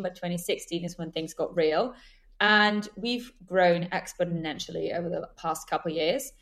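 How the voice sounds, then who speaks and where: A woman's voice: lowish voice, a bit bright. A young adult woman, a guest on a podcast interview, talking fluently and explaining terms as she goes. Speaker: guest, senior account executive.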